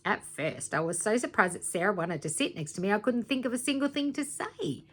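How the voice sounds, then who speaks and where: A woman reading a children's story aloud in an expressive, dramatic teacherly way, with asides to young listeners. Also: medium pitch, fairly quick. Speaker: teacher reading aloud to primary school students.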